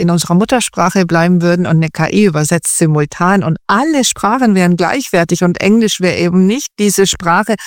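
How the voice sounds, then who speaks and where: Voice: mid-range voice, creaky, no room sound, clean studio sound. An interview guest answering in a chatty way, thinking aloud with many fillers and restarts. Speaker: guest expert on AI.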